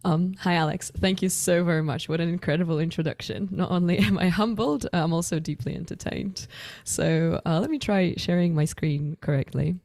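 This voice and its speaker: A woman's voice: fairly low pitch, moderate pace, darkish tone. A young woman from Poland, giving an online science talk in a self-deprecating, joking manner. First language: Polish. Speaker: astrophysics speaker.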